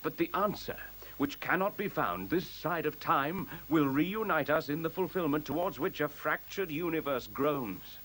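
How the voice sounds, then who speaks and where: An actor playing a newborn machine intelligence, solemn and oratorical, in a science fiction radio play. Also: male voice, a little high, expressive, normal speed, fairly bright tone, poor audio. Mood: solemn, exalted. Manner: grandiose, oratorical, prophetic. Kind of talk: 1970s radio drama acting.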